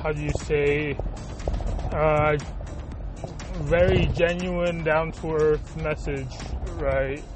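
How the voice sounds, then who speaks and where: A man hosting a podcast in a casual monologue, halting and searching for words with many fillers. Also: fairly high pitch, fairly even intonation, poor audio. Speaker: podcast host. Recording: talking over clear background music.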